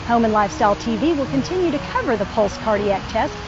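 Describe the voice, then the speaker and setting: A woman's voice: a little high, animated, fast talker. Upbeat female TV host. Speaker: TV show host. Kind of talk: hosting a TV health segment. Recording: lo-fi audio with clear background noise.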